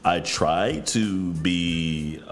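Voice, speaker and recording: Deep-voiced blunt New man. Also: extremely slow. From New York. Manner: direct, blunt, swears freely. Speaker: guest, counselor. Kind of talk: candid podcast conversation.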